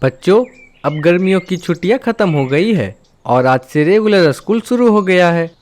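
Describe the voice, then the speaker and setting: Man voicing a higher-pitched strict crow principal. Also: lively intonation, normal speed, a little mellow. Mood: instructive, firm. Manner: formal, announcing to pupils. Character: male crow school principal, instructive and strict. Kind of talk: Hindi cartoon voice acting.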